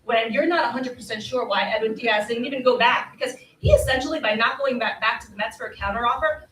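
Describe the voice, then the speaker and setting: Fast-talking woman in an echoey room. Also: a little high, a bit bright. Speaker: sports journalist and panelist. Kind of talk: live panel sports discussion. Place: live convention panel stage. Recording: echoey live room, distant from mic.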